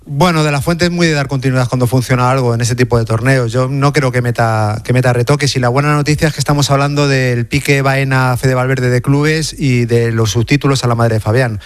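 Fast-talking male radio commentator. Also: medium pitch, fairly even intonation, no echo. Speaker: football commentator. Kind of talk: radio sports chat.

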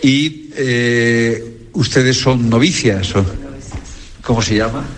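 A man conducting an on-location interview, halting as he searches for words. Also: mid-range voice. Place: small convent parlour, indoors.

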